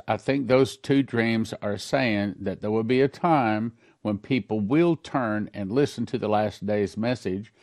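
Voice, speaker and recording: A man's voice: fairly low pitch, no room sound, studio quality. An older man, a pastor, earnestly recounting dreams in a sermon. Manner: earnest storytelling, reads letters aloud quickly.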